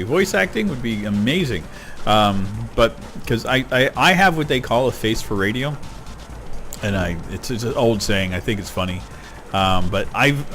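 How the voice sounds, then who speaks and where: A middle-aged man rambling casually while playing a video game, getting excited over loot. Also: mid-range voice. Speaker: gaming YouTuber. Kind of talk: casual let's-play commentary. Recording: game music behind voice. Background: game music.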